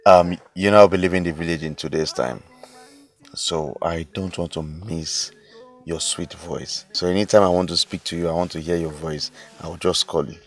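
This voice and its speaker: A man's voice: low voice, fairly even intonation, normal speed, soft background music, natural voice. An actor with a Nigerian accent playing a grown son who defends himself to his parents and sweet-talks a girlfriend. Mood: tender. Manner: pleading, defensive. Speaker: young son in a family drama. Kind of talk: Nollywood comedy-drama acting.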